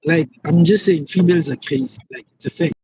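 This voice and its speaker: A man's voice: a little high, a little fast, mellow, breathy edge, no room sound, poor audio. A young South African man on a phone video call from a taxi, arguing his point in a casual live debate and repeating himself as he goes. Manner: argumentative, repeats himself, frequent "like". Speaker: call-in guest.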